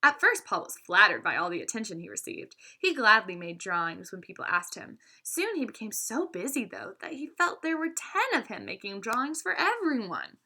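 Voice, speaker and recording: A woman's voice: medium pitch, moderate pace, hardly any pauses. A woman reading a children's story aloud expressively, now and then stumbling and correcting herself. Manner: animated, with stumbles and self-corrections.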